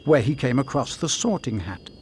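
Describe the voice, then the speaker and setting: A man as a storybook narrator in a fantasy game. He tells the tale expressively, with a lively range and clear noise behind his voice. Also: mid-range voice, moderate pace, lightly acted.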